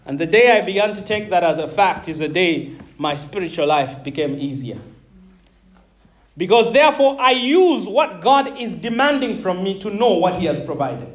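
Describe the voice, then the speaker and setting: A man's voice: high-pitched, sing-song, normal speed. A man in his thirties from Zambia, preaching a sermon and calling out to his congregation, repeating words and laughing between points. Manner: emphatic, calls out to the congregation. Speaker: pastor.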